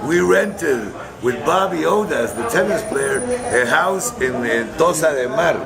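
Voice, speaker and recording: An older man telling his life story in an interview, halting and slangy, with many restarts. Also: fairly high pitch, expressive, gravelly, loud music behind the voice. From Guatemala. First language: Spanish. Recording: crowd noise behind. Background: busy crowd chatter.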